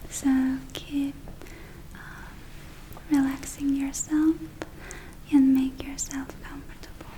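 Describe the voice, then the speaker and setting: A woman playing a gentle, caring witch in a whispered ASMR roleplay, speaking softly and soothingly with hesitant phrasing. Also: sits high, low-quality recording. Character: gentle caring witch running a magic spa. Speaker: ASMR performer.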